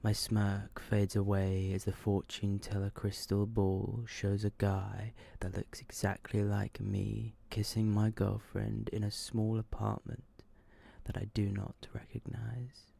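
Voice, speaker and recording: Deep, slow, hushed man reading ASMR. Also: monotone, creaky. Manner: slow, hushed, subdued delivery. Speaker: ASMR storyteller. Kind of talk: ASMR horror story reading.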